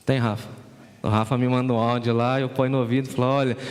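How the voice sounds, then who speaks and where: A man's voice: mid-range voice, little pitch movement, fast talker, darkish tone. A Brazilian man with a São Paulo countryside caipira accent, preaching a sermon in a conversational way and calling on congregation members by name. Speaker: pastor. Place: church sanctuary. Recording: church hall reverb.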